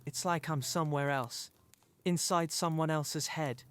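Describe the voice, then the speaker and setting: Higher-voiced troubled teenage boy played by a man. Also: steady tone, a little slow, a bit bright, smooth, soft background music. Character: troubled teenage boy wizard, earnest and weary. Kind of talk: fan audio drama acting.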